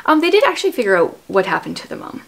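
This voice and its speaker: Woman, thirties, casual book-review chat, explanatory, asks prompting questions, medium pitch, indoor home recording. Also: very animated, racing, creaky. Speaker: host, homeschooling mother. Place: small furnished room at home, indoors.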